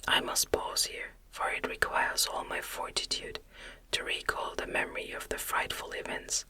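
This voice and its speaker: A narrator reading a classic novel in a soft, unvoiced ASMR whisper with a soothing cadence. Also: moderate pace.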